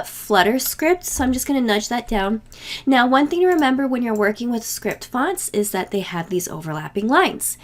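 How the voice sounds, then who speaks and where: Woman with a cold-worn voice. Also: mid-range voice. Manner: casual, step-by-step explaining with small fillers. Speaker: craft tutorial host. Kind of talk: screen-recorded software tutorial.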